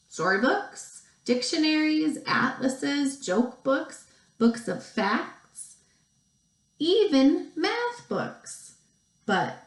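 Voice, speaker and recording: A woman teacher reading a picture book aloud to young children, expressively, with deliberate pauses and stretched emphasis. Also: fairly high pitch. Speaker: second grade teacher. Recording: roomy, echoey sound.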